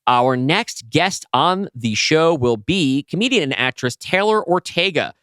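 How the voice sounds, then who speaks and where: A man's voice: on the high side, expressive, a little slow, fairly bright tone, no echo, clean studio sound. A man co-hosting a podcast in casual conversation, speaking in an emphatic, enthusiastic way. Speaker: podcast co-host and writer.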